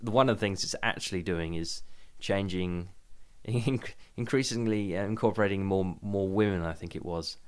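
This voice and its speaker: A man's voice: deep voice, normal speed, smooth. A man co-hosting a podcast in casual discussion, hesitant and often repeating words mid-sentence. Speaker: podcast host.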